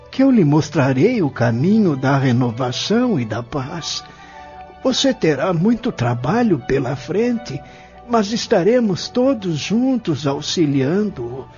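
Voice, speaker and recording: Dull lo-fi audio drama with music underneath, a man playing a gentle young Brazilian man. He speaks slowly and earnestly, like a solemn guide in a measured sermon. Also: a little high. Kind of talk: audio drama acting in a spiritist radio novel.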